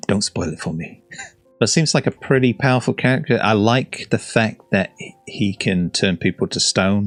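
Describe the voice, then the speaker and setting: Low-voiced dude rambling through game trailers, dry close sound, faint music. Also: male voice, dry recording. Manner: chatty, rambling, jokey. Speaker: gaming reaction host. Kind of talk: casual reaction commentary.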